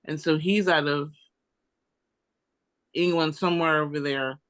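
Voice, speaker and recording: A man chatting casually in a group discussion, halting as he thinks aloud. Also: on the high side, fairly even intonation, fairly bright tone, clear, resonant tone. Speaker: participant in a genealogy group discussion. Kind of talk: casual chat.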